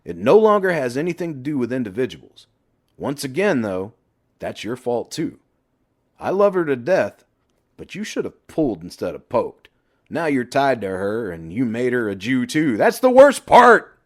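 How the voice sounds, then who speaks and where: A man's voice: medium pitch, very animated, normal speed, pitched above the performer's own voice. A man voicing a brash middle-aged best friend who lectures and needles bluntly in an audiobook. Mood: mocking, scolding. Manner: blunt, emphatic, sweary banter.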